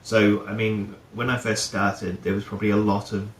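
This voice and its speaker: Middle-aged man from New Zealand, low voice, rambling interview guest, echoey room with faint hum. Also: flat delivery, moderate pace. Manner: rambling, many fillers and restarts. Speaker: guest, head of procurement. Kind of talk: casual interview chat.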